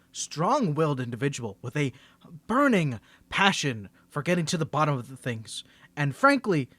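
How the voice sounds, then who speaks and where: A man's voice: a little high, a bit bright, dry recording. A man playing a confident, teasing young superhero in a scripted audio roleplay, bantering playfully and stammering when he gets flustered.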